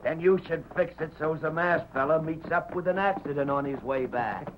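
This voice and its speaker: An actor playing a scheming, violent outlaw with folksy cowboy slang in a 1930s radio western. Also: male voice, a little high, normal speed, close to an everyday voice. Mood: conspiring. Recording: noisy, muffled old 1930s radio recording.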